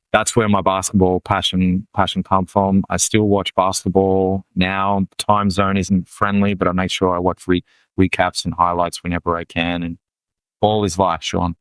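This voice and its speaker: A man's voice: low voice, smooth, dry recording, rough recording. A man speaking as an interview guest, earnest and repeating phrases for emphasis. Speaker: guest, executive coach. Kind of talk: casual conversational interview.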